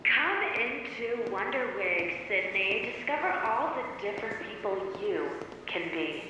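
An actress voicing a perky, salesy talking store advertisement that greets shoppers in an audio drama. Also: female voice, mid-range voice, animated, unhurried, sharp tone. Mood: chirpy, salesy. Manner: chirpy, salesy. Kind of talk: retail announcement in an audio drama. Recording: cavernous echo, faint street ambience.

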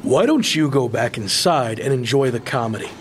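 A man's voice: mid-range voice, lively intonation, normal speed, a little hiss or hum, close to an everyday voice. An actor playing a cocky, defiant man in an audio drama, sarcastic and dismissive toward his rival. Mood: mocking, defiant.

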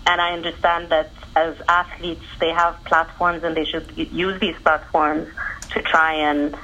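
A woman's voice: low voice, level tone, sharp tone, a little hiss or hum. Woman on a dull phone line. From Egypt. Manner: earnest, fluent, quick to explain. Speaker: tennis journalist guest. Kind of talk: conversational interview answers.

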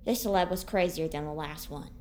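An actress playing a bossy, deadpan young survivor in an audio drama, matter-of-fact in manner. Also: female voice, low voice, fairly quick, slight background noise, natural voice. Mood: resolute.

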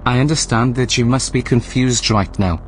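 An actor playing a formal, measured male artificial intelligence in a science-fiction audio drama. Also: medium pitch, soft background music, lightly acted. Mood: calm, apologetic. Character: calm, clinical male artificial intelligence running a research station.

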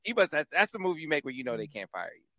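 A man's voice: on the high side, big pitch swings, fast talker, sharp tone, dry recording, clean studio sound. A man hosting a recruiting podcast, talking sports casually and animatedly, given to exclamations and repeats. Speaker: podcast host.

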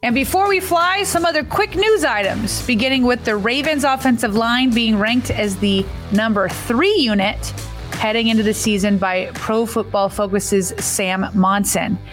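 Bright female sports show co-host. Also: fairly high pitch, moderate pace, soft background music. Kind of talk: scripted sports talk.

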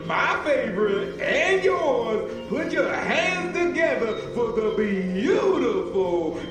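Club announcer: brash hype man, slow animated delivery, heavy PA reverb, loud music behind. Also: full character voice. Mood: exuberant hype. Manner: drawn-out, exuberant. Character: brash, showy male club announcer hyping the stage. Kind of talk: shouted stage announcing. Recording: booming echoey PA over loud music.

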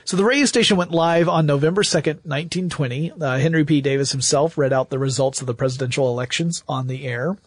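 A man's voice: on the high side, fast talker, hardly any pauses, breathy edge, no room sound, clean studio sound. An American man co-hosting a podcast, explaining things in a conversational style. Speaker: podcast co-host.

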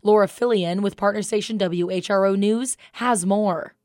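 A woman's voice: mid-range voice, normal speed, darkish tone, no room sound, clean studio sound. A woman with an American accent reading a scripted radio newscast as the anchor. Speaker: news anchor.

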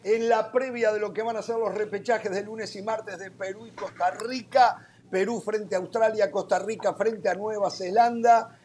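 A man hosting a sports talk show, teasing and joking with his panel in rapid back-and-forth. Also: high voice, fairly even intonation, darkish tone, slight background noise. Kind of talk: lively panel banter.

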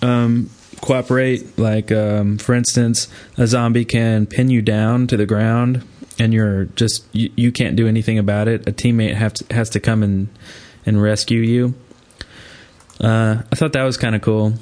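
A man's voice: fairly low pitch, flat delivery, measured pace, a little mellow. A man co-hosting a gaming podcast with casual, loose banter. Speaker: podcast co-host. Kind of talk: casual chat and banter about video games.

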